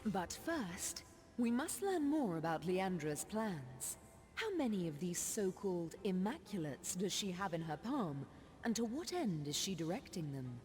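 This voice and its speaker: An actress playing a solemn, foreboding sorceress in a fantasy video game, speaking in grave, formal, measured phrases. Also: female voice, lowish voice, very animated, darkish tone, faint music behind. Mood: grave, urgent. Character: solemn, foreboding sorceress grieving over her wayward sister. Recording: long reverberant hall.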